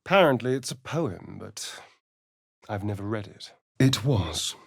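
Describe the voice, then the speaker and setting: A vain, sardonic vampire elf. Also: male voice, lowish voice, animated, unhurried, vocal fry, full character voice. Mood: wry. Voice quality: arch, theatrical, sly and teasing. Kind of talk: game voice lines.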